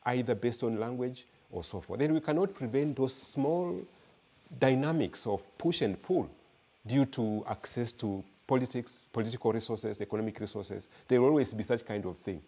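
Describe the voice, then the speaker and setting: A Kenyan man giving an academic lecture and answering audience questions, measured and searching for words as he talks. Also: medium pitch, warm tone, breathy edge. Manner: measured, repeats words while searching for them. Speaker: guest lecturer.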